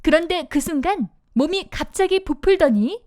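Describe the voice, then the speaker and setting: Lively high-voiced woman storyteller. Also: normal speed, no room sound, studio quality. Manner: expressive storytelling for young children. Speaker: storybook narrator. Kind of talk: scripted children's fairy-tale narration.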